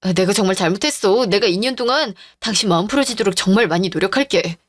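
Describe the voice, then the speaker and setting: A woman's voice: medium pitch, expressive, fast talker, no room sound, natural voice. A woman voicing a weak, pleading middle-aged cheating husband in a radio drama, with a whining manner. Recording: dry studio voice-over with faint music.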